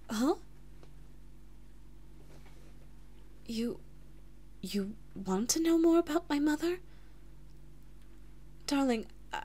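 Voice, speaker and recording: Woman acting breathy, high-voiced, devoted anxious princess. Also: natural voice. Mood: flustered, reluctant. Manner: soft, breathy, halting, emotional delivery with frequent whispering. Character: devoted, anxious big-sister princess and lover, tender and self-reproaching. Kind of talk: intimate audio roleplay, ASMR-like.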